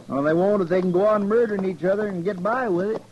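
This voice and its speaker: A man's voice: high voice, quick pace, a little mellow, lightly acted. An American man playing an earnest, deferential frontier sidekick in a 1950s radio western, folksy and plain-spoken. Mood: earnest, puzzled. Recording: dull, noisy old radio recording.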